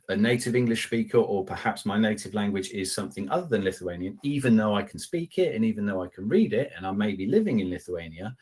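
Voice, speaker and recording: A middle-aged man presenting a product webinar over a video call, talking conversationally with polite asides, fillers and restarts. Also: lowish voice. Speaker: customer success manager presenting a webinar. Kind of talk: live product webinar with slides and screen share. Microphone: video call webcam.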